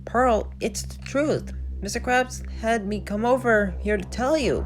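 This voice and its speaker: Woman doing a timid, high-pitched cartoon sponge. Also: unhurried, a little hiss or hum, close to an everyday voice. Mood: anxious. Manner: stammering, hesitant. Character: timid, soft-hearted young male cartoon sea sponge.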